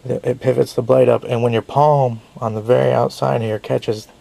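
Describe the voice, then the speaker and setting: A man's voice: medium pitch, level tone, moderate pace, gravelly, warm tone. A man, a little sick and losing his voice, giving a rambling casual knife review with frequent self-corrections.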